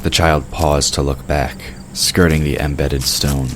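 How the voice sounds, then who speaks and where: A man's voice: very deep voice, fairly even intonation, unhurried, a bit bright. A man reading scripted third-person narration for a fantasy audio drama. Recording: clear background noise of night crickets.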